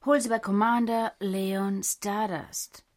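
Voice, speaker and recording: An actress playing a brisk, sarcastic young woman agent who leads a squadron in a German radio drama. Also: medium pitch, fairly even intonation, natural voice. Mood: dry sarcasm. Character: bossy sardonic young female agent and squadron commander. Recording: loud music underneath.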